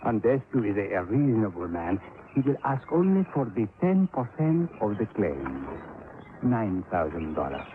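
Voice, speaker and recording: Fawning haggler with a swooping voice, old radio hiss; 1950s radio play. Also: male voice, lowish voice, sing-song, normal speed, phone-quality sound. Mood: wheedling. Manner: wheedling, fawning, bargaining. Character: wheedling foreign informant, haggling for money. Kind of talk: 1950s radio drama acting.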